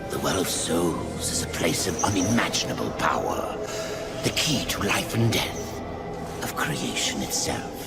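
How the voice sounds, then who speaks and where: An actor playing an old, sardonic, cryptic mystic in a video game, with a gravelly voice and theatrical, portentous delivery. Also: male voice, sits low, sing-song, measured pace, loud music behind the voice, full character voice. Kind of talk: dramatic video game acting.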